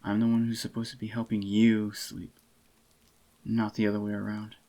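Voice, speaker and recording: A man with a fairly low voice plays a possessive, tender boyfriend in soft-spoken ASMR. His delivery is very flat and even, intimate and unhurried. The voice sounds slightly groggy, and he dotes closely on his listener. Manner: intimate, doting, unhurried murmur. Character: adoring possessive young boyfriend, tender and clingy. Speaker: ASMR voice actor.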